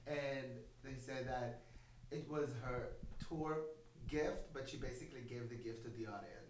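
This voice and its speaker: A man from Israel with an Israeli accent, a podcast guest chatting playfully and with lots of energy. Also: mid-range voice. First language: Hebrew. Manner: animated, playful, quick to joke. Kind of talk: casual chat. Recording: roomy, from off-mic, noisy.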